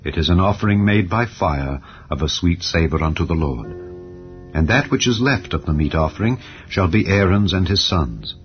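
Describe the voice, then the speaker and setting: Man, very deep voice, solemn measured scripture reading, faint background music. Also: lively intonation, muffled recording. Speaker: Bible narrator. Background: soft ambient music.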